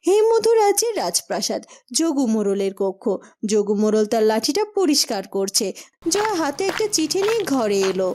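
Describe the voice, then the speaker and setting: A woman's voice: on the high side, big pitch swings, brisk, mellow. A woman giving scripted narration for a fairytale cartoon.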